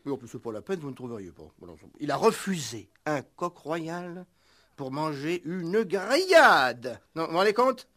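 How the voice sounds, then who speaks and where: A fussy, self-righteous innkeeper in an old French radio play. His voice sits fairly high and leaps about constantly. He stammers, flusters and says everything several times over to prove himself. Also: sing-song, normal speed, a bit bright. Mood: indignant, fussy. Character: nervous, eager, self-righteous male country innkeeper and cook.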